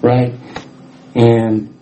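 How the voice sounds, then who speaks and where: A man's voice: mid-range voice, monotone, drawn-out words, dark tone. A middle-aged man from Houston, with a scratchy voice, giving a casual sermon as guest speaker and restarting his sentences often. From Houston, Texas. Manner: informal, self-deprecating, restarts often. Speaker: guest speaker, former missionary. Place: church hall.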